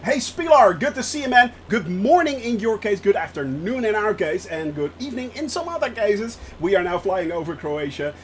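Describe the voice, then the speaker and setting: Dutch-accented male streamer, chatty and excitable, bright high voice with big swoops in pitch. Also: moderate pace, few pauses, slight background noise. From Netherlands. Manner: chatty and excitable, laughs often. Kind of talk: casual live-stream chat while flying a simulator.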